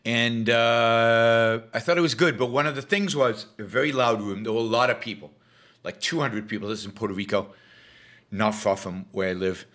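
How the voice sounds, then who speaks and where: A man hosting his talk show, hoarse from losing his voice, rambling with many fillers and restarts. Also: medium pitch, moderate pace, a bit bright. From Israel. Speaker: show host. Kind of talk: casual livestream talk.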